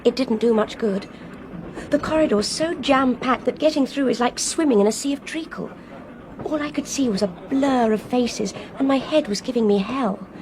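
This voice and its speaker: A woman's voice: high-pitched, moderate pace, lightly acted. An actress playing a desperate, earnest young woman with an English accent in a radio drama. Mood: weary recounting. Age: young adult. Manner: earnest, emphatic. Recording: clear train noise behind.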